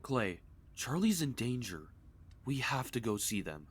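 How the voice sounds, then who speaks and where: A man voicing an earnest, determined young man in an amateur comic dub, speaking in a measured, pleading way over music. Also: medium pitch, big pitch swings, breathy edge. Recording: music behind the voice.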